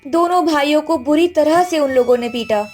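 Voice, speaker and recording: A woman's voice: high-pitched, moderate pace, a little mellow. A woman narrating a scripted cartoon story as the storyteller. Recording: faint background music.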